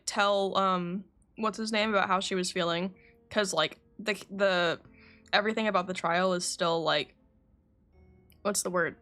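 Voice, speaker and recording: A woman's voice: mid-range voice, a bit bright. A woman streaming a game, chatting and reacting in a rambling, informal way. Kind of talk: casual livestream reaction chat. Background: faint game music.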